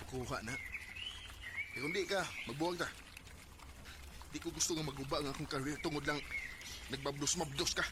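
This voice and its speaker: A man's voice: fairly high pitch, fairly quick, pauses often, background noise, lightly acted. A man acting a selfish, ambitious young gig singer in a Bisaya radio drama, with a Cebuano Filipino accent and an emotive, hesitant, defensive manner. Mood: cold, insistent.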